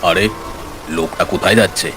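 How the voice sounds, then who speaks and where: A man's voice: sits low, moderate pace, lightly acted. A man playing a bold, impatient village commuter with a brusque, exclaiming, colloquial way of talking in a cartoon story. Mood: alarmed. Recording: faint rain noise behind.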